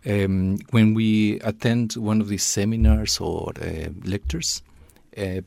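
Male interviewer, very low and gravelly, measured and encouraging, dry booth sound. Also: fairly even intonation, a little mellow, dry recording. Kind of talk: conversational interview.

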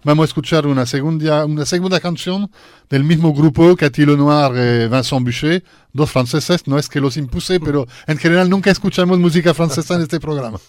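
A man hosts a talk programme and steers the guests with brisk questions. His voice sits a little high for a man. The recording is dry and close. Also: darkish tone, no room sound. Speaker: radio host.

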